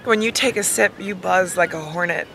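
A woman in her thirties giving a dramatic, mock-emotional reading of song lyrics, with halting, deliberate pauses, recorded outdoors on a handheld camera. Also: fairly low pitch, creaky, fairly bright tone, slight background noise, low-quality recording. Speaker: comedic video host. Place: lying outdoors in a hammock. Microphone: camera held at arm's length.

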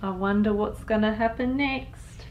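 A woman in her thirties reading a picture book aloud to young children, expressive and deliberate, as a storytime host. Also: mid-range voice, a little hiss or hum. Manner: expressive, deliberate, with sound effects and asides to listeners.

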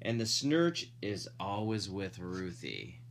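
A man's voice: sits low, big pitch swings, takes it slowly, gravelly, slight background noise. A dad reading a picture book aloud expressively, drawing out emphasized words and adding playful, casual asides to his listeners. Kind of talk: animated storybook read-aloud with casual asides.

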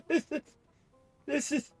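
A man's voice: very high voice, fairly even intonation, slow, gravelly, mellow, no echo, faint music behind. A middle-aged man giving casual, rambling, easily amused commentary as he plays a game. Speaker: gamer playing through a game.

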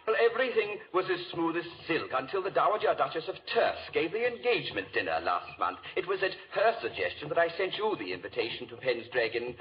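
A man's voice: fairly high pitch, fast talker, few pauses, faint music behind. An actor playing a worried, chatty English lord, a father, telling a tale in hesitant 1940s radio drama style. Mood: earnest, chatty. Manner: animated, hesitant storytelling. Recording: dull old radio recording.

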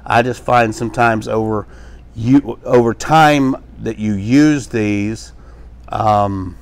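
A man's voice: lowish voice, slow pace, clear, resonant tone. A middle-aged man teaching a hands-on equipment demonstration in a small workshop, talking casually with fillers and restarts as he works. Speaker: pressure washing instructor. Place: small workshop with tool shelves, indoors.

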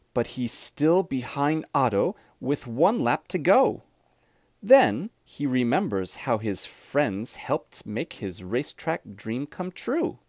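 A man reads a children's storybook aloud with deliberate, expressive phrasing. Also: medium pitch, dry recording, studio quality. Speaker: storybook reader.

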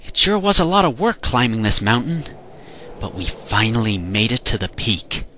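A man's voice: mid-range voice, very animated, moderate pace, bright, forceful tone, slight background noise. A man voicing a cocky, whiny, dim young adventurer in a parody dub. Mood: smug. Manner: whiny complaining, smug. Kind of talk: fan parody cartoon dub.

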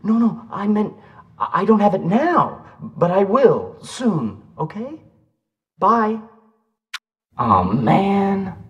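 Whiny slacker guy, swooping pitch. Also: big pitch swings, moderate pace, no room sound, highs cut off. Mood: flustered, pleading. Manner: casual slacker drawl, defensive and pleading. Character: whiny, anxious young slacker man, pushed around by his girlfriend. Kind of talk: video game voice acting.

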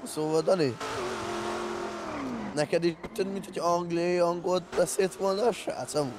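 Higher-voiced man over loud engine noise. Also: steady tone. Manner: crude, teasing. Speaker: player in a roleplay gaming group. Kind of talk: casual chat during gameplay. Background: racing game car sounds.

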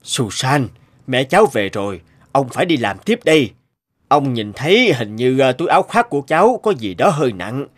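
An actor playing a kindly older policeman in a cartoon, speaking in an earnest, measured and principled way. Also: medium pitch, big pitch swings. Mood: gentle. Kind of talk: animated cartoon dubbing.

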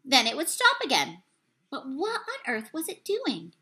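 A young woman, a teacher, reading a children's book aloud at home into a webcam, building suspense and pausing to correct slips. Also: high-pitched. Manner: expressive storytelling, swells and hushes for suspense; apologizes for slips and losing her place. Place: small room at home, plain wall behind. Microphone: webcam at desk distance.

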